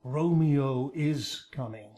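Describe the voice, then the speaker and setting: An actor playing an admonishing friar in a Shakespearean radio drama, with commanding, declamatory delivery. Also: male voice, mid-range voice, takes it slowly, warm tone, lightly acted. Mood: stern counsel. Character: Friar Lawrence, urgent, admonishing holy friar.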